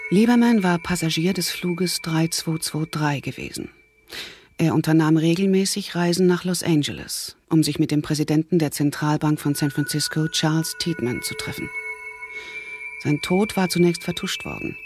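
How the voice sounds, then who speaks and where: A woman's voice: low-pitched, normal speed. Female thriller narrator over music.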